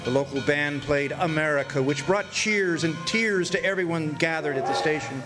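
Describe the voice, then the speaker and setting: A man's voice: fairly high pitch, moderate pace, music in the background, natural voice. Man acting a world-weary, reflective old hobo storyteller. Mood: reflective, matter-of-fact. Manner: folksy, hesitant, reflective. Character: world-weary older male hobo narrator, reflective war veteran. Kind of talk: radio drama narration, reminiscing.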